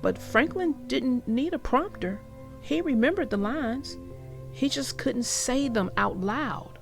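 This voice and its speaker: A woman narrating for young children in an expressive, reassuring way, as if reading aloud and talking directly to them. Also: sits high, slow pace, gravelly, soft background music. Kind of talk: read-aloud storytelling and advice to young listeners.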